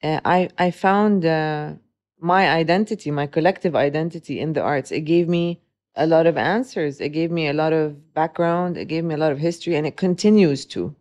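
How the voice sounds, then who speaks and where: A woman in her forties, a guest in an interview, talking reflectively with many pauses and restarts. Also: deep voice, a little mellow. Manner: reflective, with frequent hesitations and restarts. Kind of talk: conversational interview.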